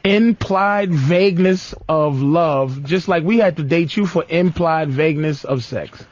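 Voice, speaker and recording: A man's voice: fairly high pitch, measured pace, a little mellow. An American man hosting an unscripted talk show, blunt and profane, cutting in and arguing casually. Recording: dull lo-fi radio audio.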